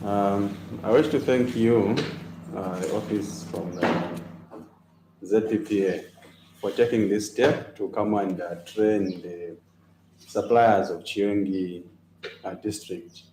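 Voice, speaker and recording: A man's voice: low voice, fairly even intonation, slow, warm tone. A man giving formal, hesitant remarks as a local official in an echoey room. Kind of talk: formal spoken remarks with pauses. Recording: echoey room with faint noise.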